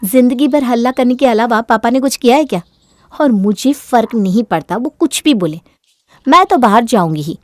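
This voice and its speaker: Narrator putting on a brash, high-voiced young daughter. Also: female voice, lively intonation, normal speed, no room sound, natural voice. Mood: defiant. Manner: defiant, dismissive. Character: brash, selfish young woman talking back to her mother.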